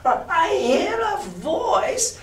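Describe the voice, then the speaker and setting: A man's voice: extremely high pitch, big pitch swings, very slow, voice pushed up, exaggerated voice. Old blind beggar villain, acted by a man, cruel and wheedling, for a storybook; distant, echoey recording. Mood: wheedling sing-song. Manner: odd sing-song wheedle that turns to hard commands. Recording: roomy, distant mic with background noise.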